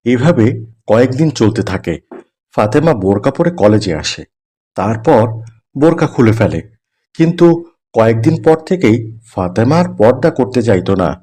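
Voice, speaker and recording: A man's voice: mid-range voice, animated, normal speed, pauses often, mellow. Man narrating a scripted story.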